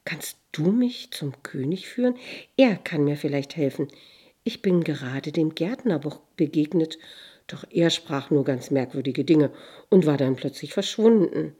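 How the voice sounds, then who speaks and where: An earnest little girl asks her questions, as a woman narrator puts her on. The voice stays low and husky, sincere rather than childish. A quiet storybook figure. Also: expressive, unhurried, exaggerated voice. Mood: pleading.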